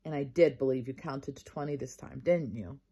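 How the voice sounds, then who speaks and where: A woman reading the part of a patient but firm male first-grade teacher in a storybook, measured, praising and gently correcting. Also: extremely low pitch, husky, voice pushed down, full character voice.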